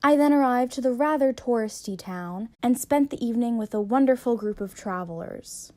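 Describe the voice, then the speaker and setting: A woman's voice: on the high side, moderate pace, mellow. A young woman narrating her travel vlog in a wry, self-deprecating voice-over. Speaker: travel vlogger.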